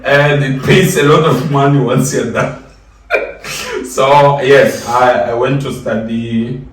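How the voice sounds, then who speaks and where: A man's voice: medium pitch, steady tone, slow. A man with a South African accent, a podcast guest telling personal stories in a casual, jokey, self-deprecating way. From South Africa, grew up in Giyani. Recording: echoey room, distant mic, faint noise.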